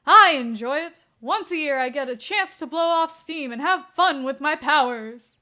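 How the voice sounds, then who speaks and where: A woman's voice: high-pitched, animated, normal speed, pushed and bright, voice pushed up. A woman voicing a mischievous middle-aged male superhero who plays the gleeful villain, boastful and theatrical in an audiobook-style story.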